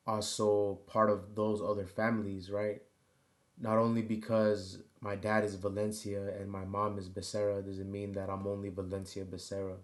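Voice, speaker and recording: Low, flat-voiced rambling man. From United States. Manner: halting, filler-heavy, keeps adding "you know" and "right?". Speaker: vlogger. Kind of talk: rambling personal monologue.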